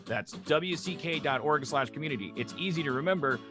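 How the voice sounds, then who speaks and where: A man's voice: fairly high pitch, brisk. Wry man hosting, music behind. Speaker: host introducing cast and reading stage directions. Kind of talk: casual hosting of a virtual table read.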